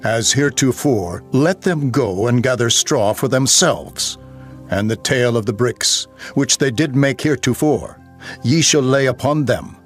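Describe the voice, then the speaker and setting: A man's voice: medium pitch, measured pace, faint music behind, lightly acted. An actor playing a haughty, harsh king of Egypt in a scripture audio drama, declaiming in an imperious, scornful way. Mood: harsh, commanding.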